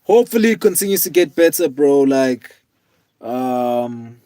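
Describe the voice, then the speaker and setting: A man in his twenties, tired, chatting casually with viewers on a live stream in a relaxed, rambling way with lots of fillers. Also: mid-range voice, measured pace, no echo. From South Africa. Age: 20s. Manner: laid-back, rambling, many fillers, calls viewers man and bro.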